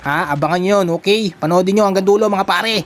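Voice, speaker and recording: Brief: young Filipino man, laid-back gaming voice-over, a bit high-pitched, rambly, faint hiss behind. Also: quick pace. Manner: chatty, rambling, calls viewers buddies. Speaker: mobile gaming content creator. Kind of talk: casual voice-over for gameplay.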